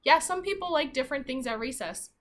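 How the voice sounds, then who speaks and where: A woman with a fairly high, very animated voice reads like a primary school teacher at story time. Her pitch swings freely as she moves between expressive reading and chatty asides, speaking straight to young listeners. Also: a little fast. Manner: expressive, asks the children questions directly. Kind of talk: animated read-aloud of a children's picture book with asides.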